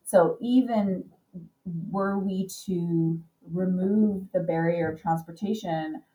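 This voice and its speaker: A woman's voice: fairly low pitch, mellow, recorded from a distance, rough recording. A woman researcher thinking aloud in a panel discussion, circling back and hedging as she builds her point.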